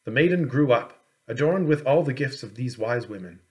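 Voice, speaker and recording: Man reading a fairy tale expressively. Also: medium pitch. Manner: measured, expressive storytelling. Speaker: audiobook reader. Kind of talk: scripted storybook narration.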